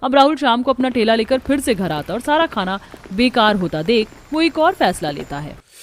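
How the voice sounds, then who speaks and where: A woman's voice: a little high, sing-song, moderate pace. A woman giving scripted narration for a Hindi cartoon story.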